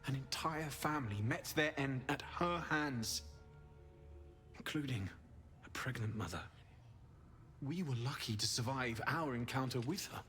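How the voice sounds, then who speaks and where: A man playing a solemn, righteous holy warrior in a fantasy game, speaking gravely and formally. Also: mid-range voice, measured pace, long pauses, a bit bright, natural voice. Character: solemn holy warrior seeking justice, male. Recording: long hall reverb, music behind.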